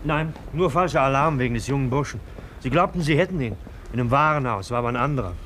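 An actor playing an obedient police subordinate in a 1950s radio drama, deferential and clipped. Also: male voice, medium pitch, animated, fast, fairly bright tone, close to an everyday voice. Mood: deferential, brisk. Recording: old radio recording, faint noise.

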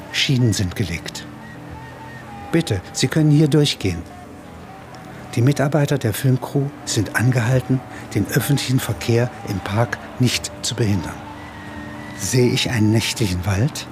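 A man narrating a literary radio play. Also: medium pitch.

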